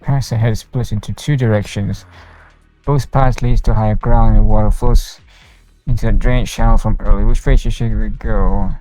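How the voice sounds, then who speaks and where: A man's voice: on the low side, fairly even intonation, normal speed, warm tone, rough recording. A man live-streaming a game, reading its dialogue aloud in an informal way. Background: game music, faint.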